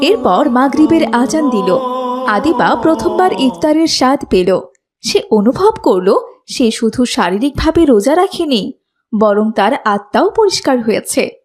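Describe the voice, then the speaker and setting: A woman's voice: high-pitched, animated, unhurried, a little mellow, slightly rough. A woman narrating a scripted moral story for children.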